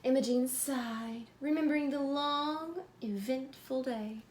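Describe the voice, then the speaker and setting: Female, very high voice, children's read-aloud teacher, simple prompting questions, some background noise. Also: slow pace, darkish tone. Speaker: teacher reading a picture book aloud to children.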